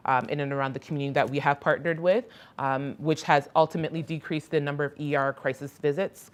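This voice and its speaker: Low-voiced hesitant woman presenting. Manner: hesitant, many ums and restarts. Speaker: presenter on a crisis outreach program. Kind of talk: conference presentation. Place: hospital conference room.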